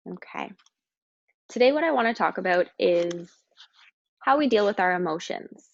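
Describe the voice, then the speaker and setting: Woman guiding a calm meditation, muffled recording. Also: medium pitch, vocal fry. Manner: calm, slow, instructing with pauses. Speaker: meditation guide. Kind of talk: guided meditation.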